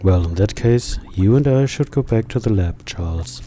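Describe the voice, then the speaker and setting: A man's voice: lowish voice, expressive, moderate pace, dark tone, a little hiss or hum, pitched below the performer's own voice, lightly acted. A man voicing a grim, resolute male detective in a game, speaking in a terse, firm way. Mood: matter-of-fact.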